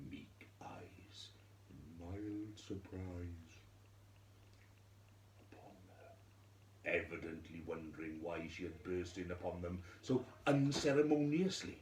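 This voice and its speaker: A man storyteller narrating a scripted fairy tale for children, theatrically, in measured phrases with dramatic pauses. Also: deep voice, a little mellow. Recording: echoey room with faint noise.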